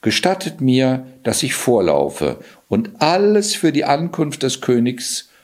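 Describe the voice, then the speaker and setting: A man's voice: mid-range voice, very animated, slow pace, pitched above the performer's own voice. A man voicing a cunning, daring cat in boots from a fairy tale, with a theatrical, proclaiming manner. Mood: smooth, scheming.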